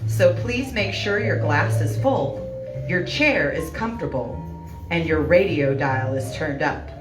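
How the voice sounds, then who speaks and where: A woman's voice: sits low, animated, unhurried. A woman announcer giving a scripted introduction to a radio play. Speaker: announcer and cast member. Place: live stage performance in a venue. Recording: roomy venue sound, music behind.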